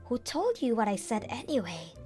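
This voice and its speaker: A woman's voice: a little high, big pitch swings, moderate pace, over music. A woman voicing a meddling, flustered older mother-in-law who wheedles, in a manga dub. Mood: flustered wheedling. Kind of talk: manga dub character acting.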